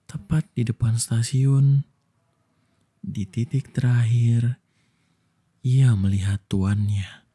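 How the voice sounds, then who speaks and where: Soft, husky, slow-speaking young man. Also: mid-range voice, fairly even intonation. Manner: slow, gentle, soothing delivery with long pauses. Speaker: ASMR voice actor telling a bedtime story as a boyfriend. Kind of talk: ASMR bedtime storytelling.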